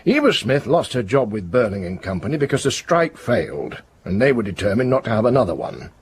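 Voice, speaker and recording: An actor playing a grave, probing police inspector in a radio drama, with a rough, husky voice and a deliberate, accusatory way of questioning. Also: male voice, mid-range voice, very animated, slight background noise, natural voice.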